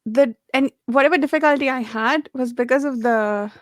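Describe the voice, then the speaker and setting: Female panelist, slightly high register, casual and enthusiastic, loose rambling delivery, close dry recording. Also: normal speed, no echo. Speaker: book discussion panelist. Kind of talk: casual book discussion.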